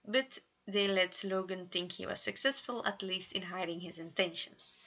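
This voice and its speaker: Bright-voiced woman reading a story aloud. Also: lowish voice, moderate pace, muffled recording, slight background noise. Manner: expressive, with occasional stumbles over words. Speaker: fan fiction narrator.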